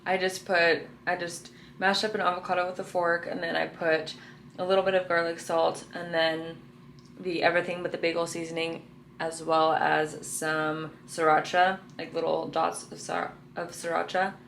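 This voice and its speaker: Young woman vlogger, hoarse and low-pitched, rambling chatty delivery. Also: little pitch movement, normal speed, fairly bright tone, a little hiss or hum. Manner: chatty, lots of "like" and "um" fillers. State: raspy morning voice. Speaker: lifestyle vlogger. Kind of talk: casual vlog chat to camera.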